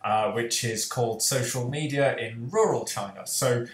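A man's voice: mid-range voice, moderate pace. A young man hosting an online panel on a video call, speaking earnestly with many fillers. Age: young adult. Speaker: host and author. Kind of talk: panel discussion. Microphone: headset on video call. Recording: roomy echo.

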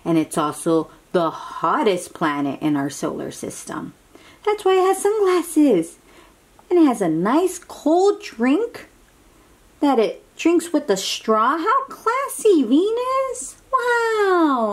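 A woman reading a picture book aloud to young children, expressive and full of exclamations, drawing out words and dropping into hushed asides as she asks simple questions. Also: high voice, slow. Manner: talks directly to young listeners with simple questions. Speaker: children's read-aloud host.

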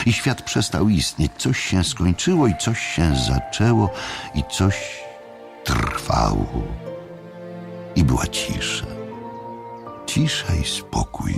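A man narrating a literary story dramatically, with measured, deliberate phrasing and pauses. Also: fairly low pitch, creaky. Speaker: audiobook narrator.

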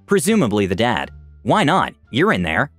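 A man's voice: medium pitch, huge pitch swings, normal speed, fairly bright tone, dry recording. A man narrating online stories as scripted narration, shifting his voice for quoted people. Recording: dry close voice-over with faint music.

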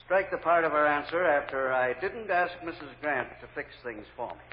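An actor playing a stern, curt trial judge with terse authority, in 1950s radio drama style. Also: male voice, on the high side, expressive, moderate pace, a little hiss or hum, natural voice. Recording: muffled, distant old radio recording, reverberant.

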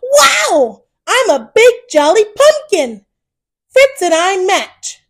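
Woman voicing an excited young hippo girl. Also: very high voice, slow pace, voice pushed up, full character voice. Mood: thrilled. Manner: bubbly, eager.

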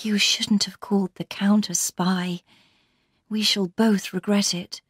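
A woman voicing a determined, protective and outspoken gentlewoman heroine in an audiobook, forthright and earnest. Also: mid-range voice, flat delivery, slow, slightly rough, no room sound, studio quality. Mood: concerned.

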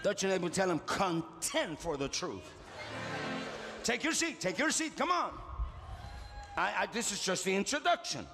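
High, shouting preacher in a big hall. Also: male voice, big pitch swings, normal speed, long pauses. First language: Spanish. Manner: shouting, preaching with call-and-response. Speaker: pastor. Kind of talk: impassioned sermon. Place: large church auditorium. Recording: live church hall reverb with music. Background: congregation and faint music.

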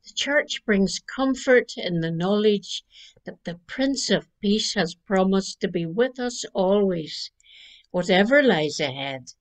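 An older woman minister from Northern Ireland giving a gentle Christmas Eve reflection from her living room, speaking into a webcam. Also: medium pitch, expressive. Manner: slow, gentle preaching cadence. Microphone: webcam at desk distance.

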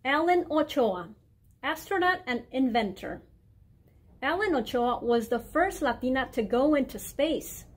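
High-voiced woman reading to children. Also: animated, measured pace. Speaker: guest reader, mayor. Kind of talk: reading a children's picture book aloud. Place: indoor library room with bookshelves.

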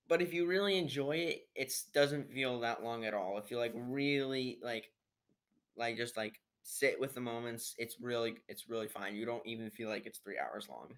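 A man's voice: mid-range voice, a bit bright, smooth. A teenage boy giving a casual, unscripted movie review in a rambling, hesitant way, recorded at home on a built-in computer microphone. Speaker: amateur video reviewer. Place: living room at home, indoors.

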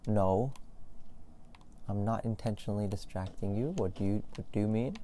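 A man playing an affectionate, clingy young boyfriend in an ASMR roleplay, soft-spoken and playfully teasing with mock innocence. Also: lowish voice, normal speed, natural voice. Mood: mock-innocent teasing. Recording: noisy, with keyboard and game sounds. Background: keyboard typing and game sounds.

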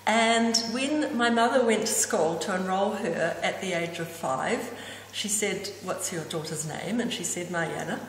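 A woman's voice: mid-range voice, measured pace. Older New Zealand woman in an echoey room. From Auckland, New Zealand. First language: Danish. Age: 60s. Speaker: interviewee. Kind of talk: personal reminiscence to camera. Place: indoors, hall-like room with hard surfaces. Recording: echoey reverberant room, clear background noise.

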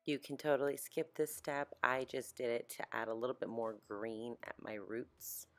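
A young woman with a deep, slightly hoarse, allergy-congested voice, chatting informally through a casual makeup tutorial. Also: moderate pace. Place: small home art studio, indoors. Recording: roomy echo, music behind.

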